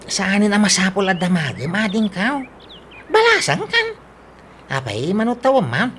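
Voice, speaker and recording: A woman's voice: mid-range voice, big pitch swings, normal speed, fairly bright tone. Woman acting swooping, pushy lovestruck Filipino man, noisy recording. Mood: wheedling. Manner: wheedling, exclamatory. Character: pushy, lovestruck man courting a much younger girl. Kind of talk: comedic Ilocano radio drama acting. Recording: clearly noisy radio drama recording.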